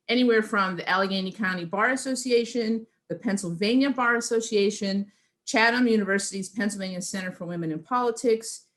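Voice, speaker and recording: A woman host reads a speaker introduction over a video call. Her pitch is mid-range and her delivery is steady. She works through a list of credits. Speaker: event host introducing the moderator.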